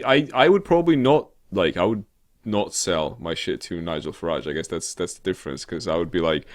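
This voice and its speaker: A man co-hosting a podcast in casual discussion, speaking in a hesitant, halting way as he builds hypothetical scenarios. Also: low voice. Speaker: podcast co-host.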